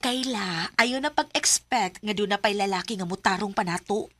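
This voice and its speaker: A woman's voice: medium pitch, moderate pace, heavy background noise, close to an everyday voice. A woman playing a blunt, worldly coworker who scolds her friend. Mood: warning.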